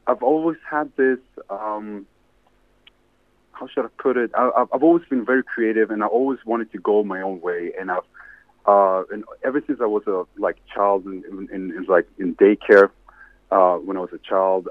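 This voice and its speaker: A man from Sweden, answering interview questions in a rambling, halting way with many restarts. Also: on the low side, steady tone, dry recording. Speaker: interview guest, entrepreneur. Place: salad bar. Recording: tinny phone line.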